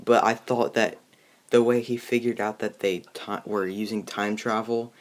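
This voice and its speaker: A young man hosting a casual review chat, talking in a halting, rambling, informal way. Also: fairly low pitch, steady tone, slight background noise. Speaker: host of a movie review video.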